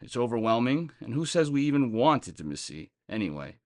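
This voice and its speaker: A man's voice: medium pitch, sing-song, a little fast, no room sound, studio quality. A man reading a scripted daily meditation as a reader on a recovery podcast.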